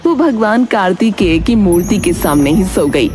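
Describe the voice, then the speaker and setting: A woman's voice: mid-range voice, animated, moderate pace. A woman narrating a scripted children's moral story as a storyteller. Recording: music behind narration.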